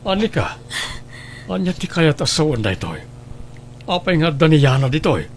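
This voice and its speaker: A man as an earnest father with swooping pitch. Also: very animated, moderate pace, slight background noise. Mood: flustered, upset. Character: middle-aged father in love, earnest, easily embarrassed. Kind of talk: radio drama acting.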